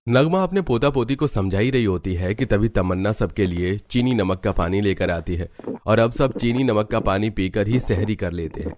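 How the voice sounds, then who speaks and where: A man's voice: fairly low pitch, animated, normal speed, a little mellow. A man narrating a scripted children's cartoon story.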